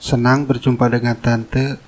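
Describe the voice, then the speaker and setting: Man to voice poor young suitor for cartoon drama, humble and courteous, breathy rough-edged voice with very little pitch movement. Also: little pitch movement, a little slow, darkish tone, close to an everyday voice. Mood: polite.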